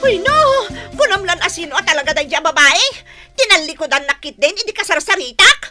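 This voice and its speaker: An actress playing a quarrelsome, sharp-tongued wife in a comic radio drama, with a Filipino accent and an indignant, scolding manner. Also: female voice, very high voice, lively intonation, a little fast, faint music behind, full character voice. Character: quarrelsome, sharp-tongued neighbourhood wife, quick to take offence.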